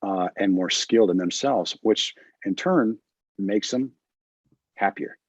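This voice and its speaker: A man's voice: fairly low pitch, measured pace, slightly rough, dry recording, highs cut off. A man from the United States talking as a podcast guest, reflective and repeating words as he thinks. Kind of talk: casual conversation.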